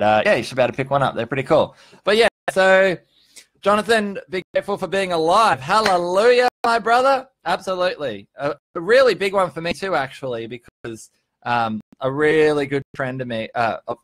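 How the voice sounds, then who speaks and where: A man in his early thirties hosting a casual motivational livestream. His voice sits at an average male pitch and is recorded dry and close. He talks informally and earnestly, leaning on points and swearing freely. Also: fairly bright tone, no echo. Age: early 30s. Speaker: vlogger hosting a live stream. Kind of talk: casual motivational livestream chat, answering viewers.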